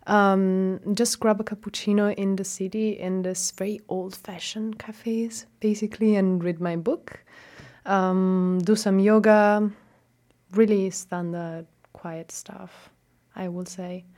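A young woman with an Italian accent, Italian her first language, speaking as a guest in a casual interview, reflective and hesitant with many ums and soft laughs. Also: mid-range voice, steady tone, slow. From Italy.

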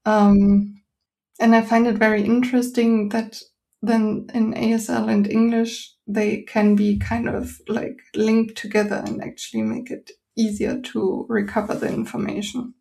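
A woman's voice: on the high side, flat delivery, a little slow, creaky, a little mellow. A woman host whose first language is German, asking questions in English and reflecting on her own experience. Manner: reflective, sharing personal experience. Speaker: podcast host. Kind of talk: interview questions.

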